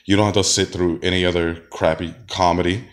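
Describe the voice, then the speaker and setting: A man talks in a loose, rambling monologue, full of fillers and false starts. His voice sits very low because he is sick. Now and then he coughs between phrases. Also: level tone, brisk. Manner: rambling, many fillers and restarts. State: sick, deep voice. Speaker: wrestler and comedian. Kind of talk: casual monologue.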